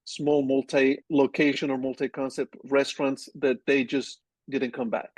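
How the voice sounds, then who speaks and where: A man in his forties with a Colombian Spanish accent, talking about his work in a loose, easygoing way and often restarting his sentences. His voice sits in a middle pitch. The recording is close and dry, with almost no room sound. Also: moderate pace, husky, dry recording. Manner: relaxed, rambling, frequent restarts. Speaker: agency founder guest. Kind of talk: casual interview conversation.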